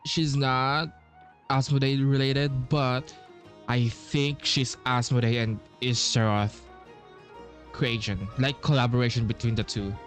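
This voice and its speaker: Male gamer, casual playthrough commentary: unhurried, halting delivery, slangy, faint music behind. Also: medium pitch, little pitch movement. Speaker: gamer playing and reacting. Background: game music.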